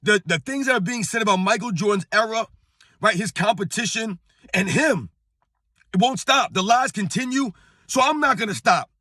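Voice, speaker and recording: A middle-aged man hosting his own sports channel, talking straight to the viewers in a hoarse, strained voice. He talks emphatically and keeps circling back to his point. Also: sits high, lively intonation, normal speed, pushed and bright, dry recording, studio quality. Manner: emphatic, repetitive, addresses viewers as guys. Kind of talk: casual talking-head rant. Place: on vacation at a beach.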